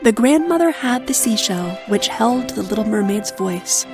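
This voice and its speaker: A woman's voice: mid-range voice, lively intonation, moderate pace, music in the background. A woman giving a scripted narration of a children's fairy tale.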